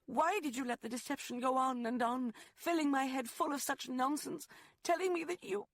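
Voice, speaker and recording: A man's voice: very high voice, normal speed, dry recording, pitched above the performer's own voice. A man voicing a proud, wounded young woman. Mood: accusing. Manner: pleading, sharp and rising. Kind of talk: audiobook character voice.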